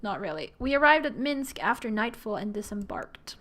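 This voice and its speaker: A woman's voice: medium pitch, big pitch swings, normal speed, a bit bright. A woman streaming a game, chatting casually, giggling and reading the game's text aloud with asides. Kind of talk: casual live-stream chat while playing a game.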